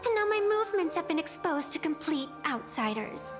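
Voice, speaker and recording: A woman's voice: very high voice, very animated, a little fast, music in the background. A woman voicing an aloof, playful rabbit-eared fey girl in an anime game, speaking in grand riddles.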